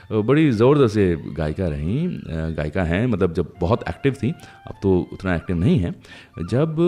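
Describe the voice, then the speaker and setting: A man with a deep voice hosts a music radio show. His delivery swings expressively as he chats to listeners and shares anecdotes, with soft music underneath. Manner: conversational, anecdotal, addresses listeners directly. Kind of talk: chatty music radio storytelling.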